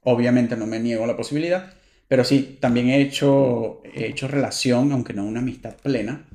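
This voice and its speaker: A Venezuelan man in his thirties, co-hosting a casual chat, telling stories with animated, quick bursts when amused. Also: mid-range voice, roomy sound. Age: 30s. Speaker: podcast co-host.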